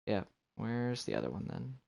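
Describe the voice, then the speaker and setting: A man's voice: on the low side, wildly swooping pitch, moderate pace, creaky, darkish tone. A man giving casual live commentary while streaming a video game. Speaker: game livestreamer. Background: video game music.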